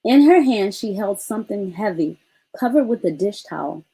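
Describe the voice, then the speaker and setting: A woman reading a bedtime story aloud to a child, pausing to explain and ask questions. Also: mid-range voice, animated, mellow, breathy edge, low-quality recording.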